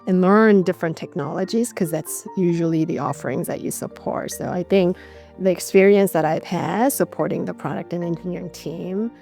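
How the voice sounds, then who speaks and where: Middle-aged woman, slightly low voice, reflective. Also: normal speed, warm tone, faint music behind. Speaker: interview guest, corporate legal executive. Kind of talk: conversational interview answers.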